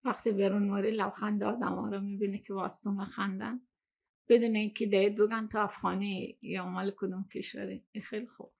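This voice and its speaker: A woman's voice: mid-range voice, little pitch movement, rapid-fire, gravelly, highs cut off. A woman from Afghanistan speaking in a documentary interview.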